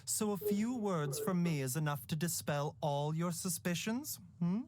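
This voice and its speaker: An actor playing a calm, enigmatic young male doctor in a video game, speaking in a measured, unhurried way with a sly, teasing edge. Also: on the high side, background noise, natural voice.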